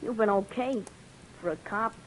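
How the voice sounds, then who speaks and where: An actor playing a defensive young street-gang thief who talks in street slang, in an old radio drama. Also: male voice, sits high, normal speed, natural voice, poor audio. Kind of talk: 1950s radio drama acting. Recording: old radio recording with faint hiss.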